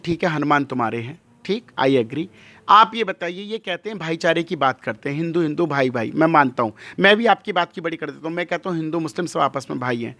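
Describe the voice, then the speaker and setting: High-pitched, emphatic male religious leader. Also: sharp tone, dry recording. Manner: emphatic, rhetorical questions and repetition. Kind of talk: impassioned interview monologue.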